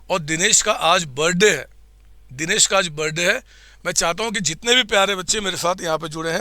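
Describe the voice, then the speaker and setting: A man teaching accounts in a live online class, with a slightly hoarse throat, quizzing his students and answering for them in a coaxing way. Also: high-pitched, bright, forceful tone, dry recording.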